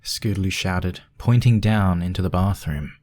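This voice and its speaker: Low voice, scripted storytelling, audiobook-style fan fiction narration. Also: normal speed, a little mellow.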